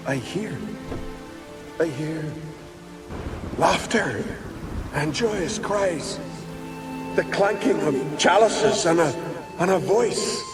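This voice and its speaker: An actor playing the weary, jaded ghost of a doomed male warrior in a video game, speaking in a sardonic way. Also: sits high. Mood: awed, relieved. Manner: slow, world-weary, sardonic. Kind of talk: video game cutscene acting.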